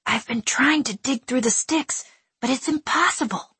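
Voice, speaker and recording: A frightened young boy pleads and questions from inside his cell, as a woman reading a storybook puts him on. The voice sits well above her usual register, and it comes out breathy and husky. Everything is close and dry, with no room sound behind it. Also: high-pitched, moderate pace, bright, forceful tone, dry recording, voice pushed up, full character voice. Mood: fierce. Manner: eager, anxious questioning.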